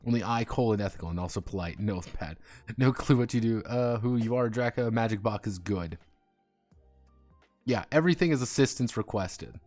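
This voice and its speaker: A male streamer with a fairly low voice talks casually as he works on his game. He drifts between reading text aloud and rambling, chuckling asides, with soft music playing behind him. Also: lively intonation, moderate pace. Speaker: live streamer and tabletop game designer.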